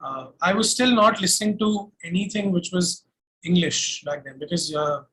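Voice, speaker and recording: A man's voice: sits high, normal speed, a bit bright, rough recording. A young man with an Indian accent, chatting casually and telling stories as an interview guest. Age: young adult. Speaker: singer-songwriter guest.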